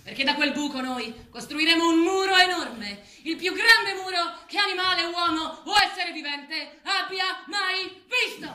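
High-voiced commanding animal revolutionary. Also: female voice, lively intonation, a little slow, hardly any pauses, pushed and bright, exaggerated voice. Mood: rousing, commanding. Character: commanding, rousing female farm animal revolutionary leader. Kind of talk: theatrical declamation. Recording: roomy theatrical recording with music behind.

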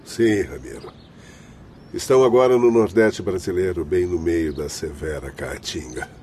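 A Brazilian man playing a grave, compassionate learned spirit doctor in a radio drama, speaking in a solemn, measured, formal way. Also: deep voice, animated, warm tone, slight background noise.

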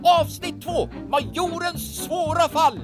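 Ljus kvinnlig berättarröst med musik. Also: female voice, very high-pitched. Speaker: narrator. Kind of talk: scripted audio drama narration. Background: music.

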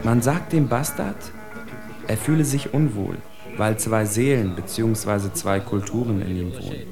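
A man's voice: fairly low pitch, moderate pace, vocal fry. An actor playing a bitter, analytical spokesman for outcasts, declaiming an articulate literary monologue for radio. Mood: bitter, emphatic. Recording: faint music under the voice. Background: faint music.

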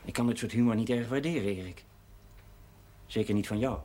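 A man plays a village lawman in a television drama. His voice is fairly low and husky. He speaks bluntly and soberly, in a stern, matter-of-fact way. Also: a little fast, mellow, lightly acted. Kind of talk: naturalistic television drama dialogue.

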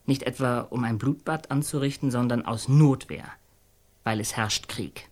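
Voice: medium pitch, normal speed, darkish tone, natural voice. An actor in a radio play voicing an earnest, awestruck storyteller who hesitates, searches for words and repeats phrases. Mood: admiring. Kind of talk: radio play monologue in halting colloquial speech.

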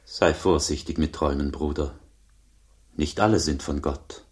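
A man acting an old, revered monk in a German radio drama, speaking in a hushed, solemn, measured way with a breathy, gravelly voice. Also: a bass voice. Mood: grave, restrained. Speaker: actor and first-person narrator.

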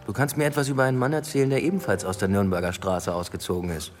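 Man playing a fast-talking, driven police detective. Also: lowish voice, flat delivery, big-room sound, close to an everyday voice. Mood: pressing, persuasive. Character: driven, ambitious male police detective inspector. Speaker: actor. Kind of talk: naturalistic German radio drama dialogue. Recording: faint music bed behind the voice.

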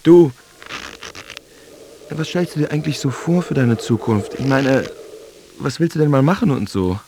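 A man's voice: medium pitch, expressive, moderate pace, noisy background, natural voice. An actor playing an awkward, sceptical young man who retells a quarrel hesitantly and colloquially in a radio drama. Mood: awkward, baffled. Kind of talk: radio drama monologue and dialogue.

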